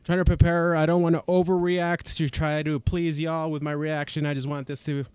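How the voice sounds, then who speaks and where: A man's voice: a little high, level tone, moderate pace, a little mellow, smooth, no room sound. A man whose first language is Spanish, chatting in a casual, upbeat way as he hosts a reaction video while walking on a treadmill. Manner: chatty, upbeat, self-correcting. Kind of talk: casual reaction vlog chat.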